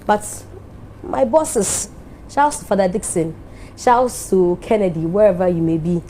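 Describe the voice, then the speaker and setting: A woman's voice: lowish voice, warm tone, a little hiss or hum. Ghanaian woman speaking from the heart. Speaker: TV show host giving an anniversary speech. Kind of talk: emotional speech mixing English and Twi.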